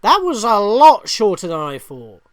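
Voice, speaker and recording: A video host giving reactive, rambling gaming commentary and thinking out loud. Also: medium pitch, huge pitch swings, measured pace, no room sound, clean studio sound. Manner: rambling, self-questioning.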